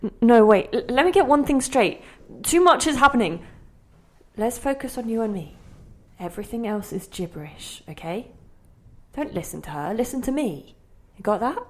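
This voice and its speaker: A bewildered, insistent inner voice. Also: female voice, a little high, expressive, moderate pace. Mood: commanding. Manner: questioning, fretful, insistent. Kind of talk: audio play monologue and dialogue.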